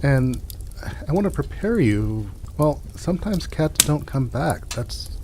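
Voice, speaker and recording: Over clear background noise comes a man acting as a gentle small-town doctor in an improvised radio drama. He picks his words with care, stalling and hedging as he soothes in a mid-pitched voice. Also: very animated. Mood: placating. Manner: halting, tactful, placating, hedging.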